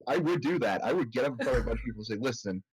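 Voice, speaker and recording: Man, husky voice, lively swinging intonation, blunt sweary mock lecture, podcast chat. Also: mid-range voice, very fast, rough recording. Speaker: podcast panelist, cosplayer. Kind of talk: casual comic ranting.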